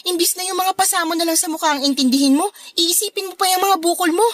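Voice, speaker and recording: High-voiced, protective Filipino big sister. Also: female voice, fast, a bit bright, no room sound. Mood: concerned. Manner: concerned, scolding on brother's behalf. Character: protective, caring older sister, a young mother. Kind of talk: Tagalog radio drama acting.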